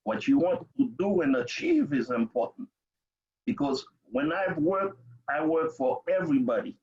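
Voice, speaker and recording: A man's voice: on the high side, sing-song, moderate pace, darkish tone. An older man from Haiti with a Haitian accent, speaking emphatically as an interview guest, repeating himself and checking in as he goes. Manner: emphatic, repetitive, checks with "okay?". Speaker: parent advocate, interview guest. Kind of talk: conversational personal testimony. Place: remote webinar call. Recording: dull lo-fi video call audio.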